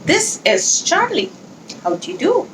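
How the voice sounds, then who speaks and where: A woman leading a children's read-along, speaking in an instructional, deliberate, explanatory way with pauses. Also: very high-pitched, wildly swooping pitch, background noise. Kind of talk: instructional talk and storybook read-aloud.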